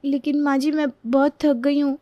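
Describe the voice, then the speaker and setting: A woman voicing a meek, weary young daughter-in-law in a storybook tale, soft and plaintive. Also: sits high, flat delivery, normal speed, dry recording, studio quality, pitched above the performer's own voice, close to an everyday voice. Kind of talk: Hindi moral-story voice acting.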